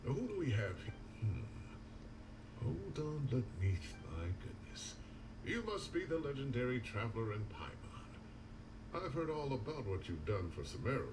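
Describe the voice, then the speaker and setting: Game role: old male sage, folksy grumbler, very animated delivery, noisy backdrop. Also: medium pitch, measured pace, distant mic. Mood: genial surprise. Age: older. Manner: theatrically indignant, folksy, chatty. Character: elderly male retired sage, grumbling, genial gardener. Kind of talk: video game voice acting.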